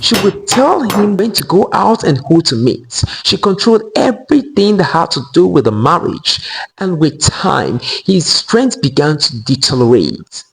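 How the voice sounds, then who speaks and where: A man's voice: sits high, big pitch swings, measured pace, creaky, rough recording. A man narrating a scripted folktale as a storyteller.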